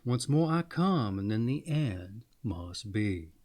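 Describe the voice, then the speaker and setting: A man voicing a gentle, sorrowful young queen in a storybook, solemn as if chanting verse. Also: lowish voice, sing-song, slow, voice pushed down. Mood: mournful.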